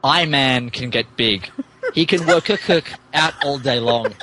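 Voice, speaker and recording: A man's voice: mid-range voice, measured pace, pushed and bright, slight background noise. A man narrating fan fiction on a podcast in a mock-dramatic, emphatic way, amused and stumbling over the text.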